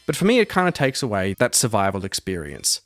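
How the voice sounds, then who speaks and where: A man's voice: on the low side, wildly swooping pitch, fast, a bit bright, no echo, soft background music. A man hosting a solo roleplaying podcast, delivering scripted commentary and narration. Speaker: podcast host and solo game master.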